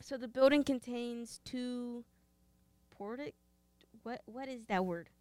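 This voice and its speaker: A woman's voice: fairly high pitch, slow pace, darkish tone, no echo. A woman with a Texas twang, co-hosting a podcast, moving between casual chat and reading out research notes. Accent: American, Texas twang. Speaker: podcast co-host.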